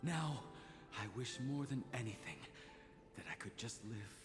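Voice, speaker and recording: A heartfelt male swordsmith from a fantasy game, voiced by an actor. His mid-pitched voice moves freely up and down, wistful and full of feeling. It echoes long over music. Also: unhurried, natural voice. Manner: heartfelt, expressive. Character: joyful, wistful male swordsmith, warm-hearted among friends. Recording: long echo, music behind.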